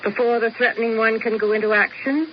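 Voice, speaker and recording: An actress playing a cool, commanding schemer who narrates in a deliberate, theatrical 1970s radio drama style. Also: female voice, fairly high pitch, fairly even intonation, lightly acted. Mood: coolly authoritative. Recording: dull, noisy 1970s radio recording.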